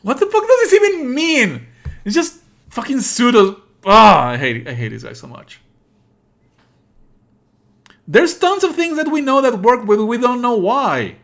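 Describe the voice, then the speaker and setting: A man's voice: extremely high pitch, sing-song, measured pace, vocal fry, long pauses, a bit bright. Opinionated male streamer giving game commentary. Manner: sweary, scornful, opinionated. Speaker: livestreamer playing and commenting on a game. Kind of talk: casual live game commentary.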